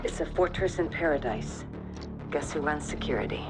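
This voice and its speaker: A woman voicing a brisk, dry-witted intelligence handler in a video game cutscene. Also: deep voice, animated, over music.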